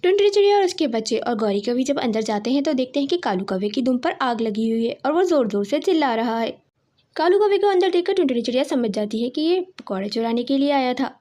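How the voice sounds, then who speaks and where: A woman storyteller with a very high voice. She reads scripted narration for a children's animal tale. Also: sing-song, quick pace, warm tone.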